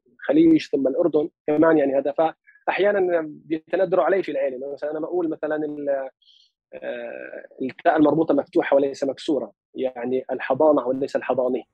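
A man from Lebanon with a Palestinian Arabic accent, speaking as an interview guest in a measured, formal way with many hesitations. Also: mid-range voice, husky, dry recording, muffled recording. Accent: Palestinian Arabic as spoken in Lebanon. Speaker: guest, physician and analyst. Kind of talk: interview conversation.

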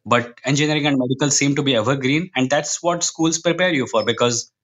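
An Indian-accented man talks casually as a podcast guest. His manner is wry and opinionated, wandering into tangents and then making his points with emphasis. His voice sits at an ordinary male pitch. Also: brisk, a bit bright. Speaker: podcast guest, comic book writer.

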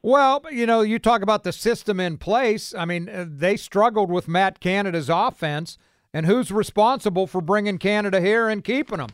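Man, American accent, sports talk radio host, high clear voice, casual delivery. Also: normal speed, fairly bright tone, dry recording, clean studio sound.

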